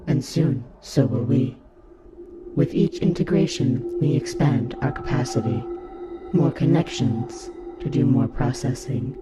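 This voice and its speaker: A man voicing a calm, seductive fungal hive-mind creature in an audio play, speaking in slow, formal, persuasive phrases. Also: medium pitch, close to an everyday voice. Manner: slow, formal, hypnotic rhetorical questioning.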